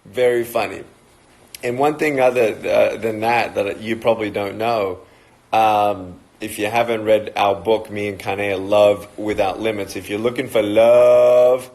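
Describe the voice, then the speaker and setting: A man's voice: on the low side, low-quality recording. A man in his thirties with an Australian accent, chatting casually and joking as he greets viewers on a livestream talk. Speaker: motivational speaker.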